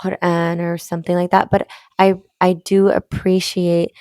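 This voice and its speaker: Flat-voiced, mellow woman co-host. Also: fairly low pitch, unhurried, no room sound, clean studio sound. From Canada. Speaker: podcast co-host. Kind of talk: casual chat.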